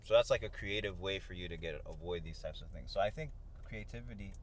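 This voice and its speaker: A man's voice: deep voice, quick pace. A man talking in a casual, conversational way while riding in a car. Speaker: co-host. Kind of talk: casual chat. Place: inside a car on the road. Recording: clear car and road noise. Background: vehicle and outdoor urban noise.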